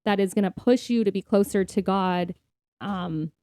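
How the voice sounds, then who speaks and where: Woman, podcast co-host, mellow relaxed tone, informal, earnest, explanatory, conversational, dry recording. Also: mid-range voice, moderate pace, no room sound. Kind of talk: casual faith-focused conversation.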